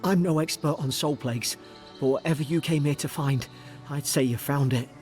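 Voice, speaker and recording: A man's voice: fairly high pitch, moderate pace, lightly acted. A man playing a loyal, wary imperial soldier companion in a video game, speaking in an earnest, cautious manner. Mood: uneasy. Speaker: game character. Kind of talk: video game dialogue acting. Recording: orchestral game music behind the voice. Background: loud music.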